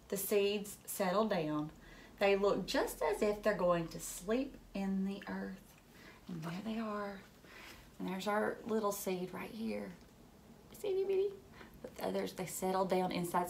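A woman reads a picture book to young children in an animated storybook style. Her delivery is slow and drawn out, with questions along the way. There is clear noise behind her in the room recording. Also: mid-range voice. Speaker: teacher reading aloud to children. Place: furnished living room at home, indoors.

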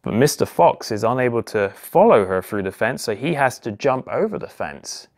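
A man in his thirties reads a picture-book story aloud for small children. His voice sits at a medium pitch. He narrates in simple phrases, with expressive exclamations and questions put to the young listeners. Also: moderate pace. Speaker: police constable reading a children's story. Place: small indoor office or home room.

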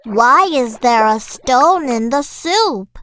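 A woman playing a kindly, prim older village mother, polite and a little sceptical, in an animated children's story. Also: high voice, slow, no echo, heavily acted.

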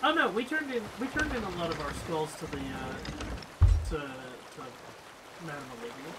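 High-pitched man streaming over loud game noise. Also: expressive, normal speed. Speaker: live streamer playing a pirate game. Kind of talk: casual gaming chat. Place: indoor room at home. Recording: loud game sound of water and boat. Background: loud water and boat sounds from game.

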